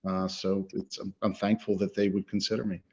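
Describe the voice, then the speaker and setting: A man in his sixties with a lowish voice answers interview questions in a halting, modest way, filling pauses with hesitations. His voice comes over a video call with dull, muffled audio. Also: flat delivery, very noisy. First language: Ukrainian. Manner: halting, modest, many fillers. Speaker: soccer coach. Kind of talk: interview guest.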